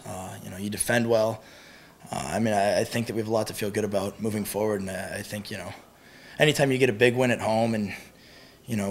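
A man's voice: on the low side, fairly bright tone. A young American man, a college hockey goalie, answering press questions with many "you know" fillers and repeated words. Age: young adult.

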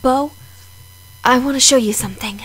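A woman's voice: high-pitched, normal speed, a bit bright, husky. A woman playing a shy, smitten female beast in an audio drama, tentative and earnest. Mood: eager.

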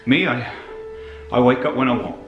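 An actor with a British accent playing an awkward, earnest man with a hesitant deadpan manner in screen comedy. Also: mid-range voice, moderate pace, dull audio, music in the background, close to an everyday voice.

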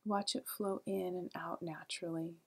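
Soft, breathy woman guiding meditation. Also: on the low side. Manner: calm, gentle, soothing, unhurried with long pauses. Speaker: guided meditation teacher. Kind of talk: guided meditation narration.

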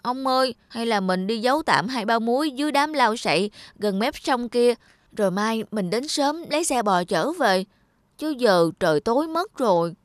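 A woman's voice: a little high, expressive, moderate pace, no room sound, close to an everyday voice. A woman voicing a timid, crafty young male servant in a cartoon, deferential and pleading. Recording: studio voice with loud music.

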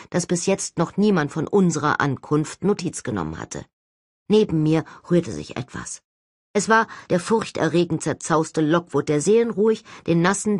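A woman narrates fiction in a fairly low voice. The delivery is expressive and scripted, as for an audiobook, and the recording is very dry. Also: no echo.

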